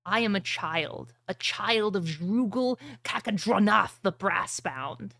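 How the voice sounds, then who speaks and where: A woman's voice: mid-range voice, slow, creaky, a bit bright, no echo, full character voice. A woman voicing a scornful, menacing demon-tainted warrior with a taunting, contemptuous manner.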